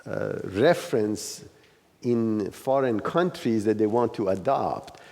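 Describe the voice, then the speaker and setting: Male class facilitator, unhurried and mellow, hesitant informal explanations, recorded in a hall. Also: on the low side. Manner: hesitant, loosely phrased, searching for words. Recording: reverberant hall.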